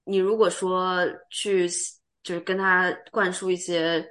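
Expressive woman with a lowish voice, chatting. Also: unhurried. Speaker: podcast co-host. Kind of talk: casual chat.

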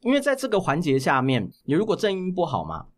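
A man from Taiwan, chatting casually as an interview guest and explaining things with clear articulation. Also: a little high, wildly swooping pitch, fast. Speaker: guest, voice actor.

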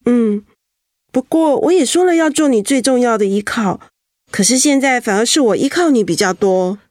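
An actress in a Mandarin radio drama playing a vulnerable young wife, earnest, emotional and confiding. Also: female voice, fairly high pitch, lively intonation, moderate pace, a little mellow, no echo, clean studio sound, natural voice. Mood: tender, reassuring. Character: vulnerable young wife holding back tears after illness.

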